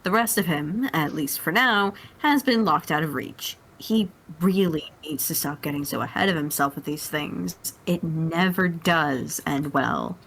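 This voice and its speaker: A woman's voice: deep voice, a little slow, creaky, hardly any pauses, fairly bright tone, husky. A woman reading scripted story narration dramatically as part of a group roleplay reading.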